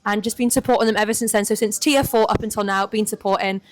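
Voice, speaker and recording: A woman from Newcastle with a mixed Geordie and southern English accent, a podcast guest talking in a quick, earnest, upbeat way. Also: mid-range voice, steady tone. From Newcastle, England. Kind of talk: casual chat.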